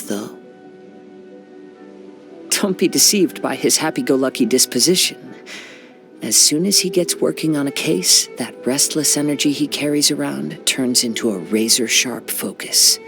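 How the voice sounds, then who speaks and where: A man voicing a young wandering samurai in a video game, gentle and serene, speaking in a soft, reflective, poetic manner. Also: on the high side, expressive, measured pace, vocal fry, soft background music, exaggerated voice. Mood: thoughtful, admiring. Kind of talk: video game character voice lines.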